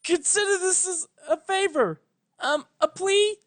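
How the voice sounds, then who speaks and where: A young pony princess of a storybook fantasy, desperate with grief. The voice is high, strained and loud, pleading and crying out. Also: a little slow.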